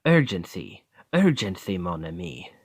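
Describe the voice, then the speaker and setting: An actor playing a seasoned royal bodyguard with a French accent, speaking in a wry, testing, measured way in an ASMR roleplay. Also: male voice, sits low, sing-song, vocal fry, husky, no room sound, clean studio sound, exaggerated voice.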